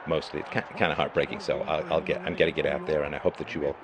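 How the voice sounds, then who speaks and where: Man, middle-aged, very low voice, hoarse, emphatic lecturing monologue, crowd noise behind. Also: flat delivery, fast. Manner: emphatic, lecturing, with rising bursts of stress. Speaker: live stream host. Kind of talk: monologue commentary.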